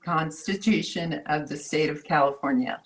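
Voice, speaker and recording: Low-voiced woman repeating an oath. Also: normal speed, poor audio. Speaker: new school board member. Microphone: home microphone on a video call.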